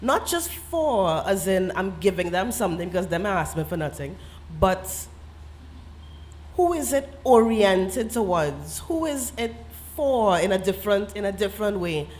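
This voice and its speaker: Woman, Trinidadian accent, novelist on a festival panel, earnest and reflective, quick laughs, creole turns of phrase, middle pitch. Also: big pitch swings, darkish tone. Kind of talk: literary festival panel talk.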